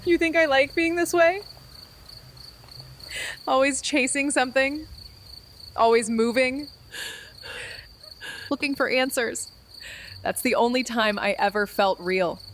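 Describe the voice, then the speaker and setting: A woman's voice: high voice, takes it slowly, long pauses, a bit bright, a little hiss or hum. A woman playing a hurt, bitter young woman in an audio drama, with a blunt, accusing, raw delivery. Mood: wounded. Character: Amy, wounded, bitter, restless young woman, abandoned friend. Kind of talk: naturalistic audio drama acting, some first-person noir narration.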